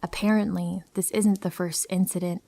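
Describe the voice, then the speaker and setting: A woman narrating true horror stories in a calm, steady first-person reading. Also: on the low side, moderate pace, gravelly.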